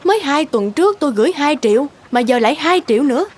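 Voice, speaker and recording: Fretful middle-aged country mom, high voice, swooping intonation, cartoon dub. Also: female voice, lively intonation, fast, a little hiss or hum. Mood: worried. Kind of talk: animated cartoon dubbing.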